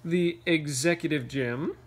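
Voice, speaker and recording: A man reading a picture book aloud to children, playfully, pausing for casual questions and asides. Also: a little high, breathy edge. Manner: playful, pauses to ask questions. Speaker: read-aloud storyteller.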